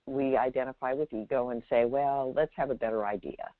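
Phone-line female spiritual teacher, dry sound, measured and halting, often checking that the listener follows. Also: a bass voice, fairly even intonation, no room sound. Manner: measured, halting, with pauses and emphatic check-in questions. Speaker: Zen teacher hosting a call-in. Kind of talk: unscripted spiritual guidance on a call-in. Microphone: telephone. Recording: narrow tinny phone line.

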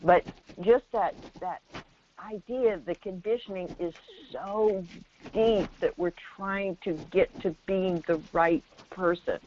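A woman's voice: mid-range voice, takes it slowly, darkish tone, a little hiss or hum. A woman giving spiritual guidance to callers as a meditation teacher, speaking emphatically and often checking in with rhetorical questions. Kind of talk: spiritual guidance in a call-in conversation. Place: remote audio call. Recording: dull, lo-fi call audio.

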